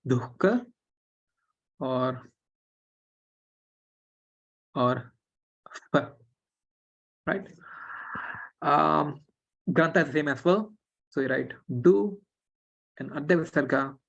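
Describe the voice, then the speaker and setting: A woman, a Telugu speaker, explaining a script in an online class, relaxed and full of fillers and restarts. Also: rumbling low. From Tamil Nadu, India. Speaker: script teacher. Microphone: video call. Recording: dull lo-fi video call audio.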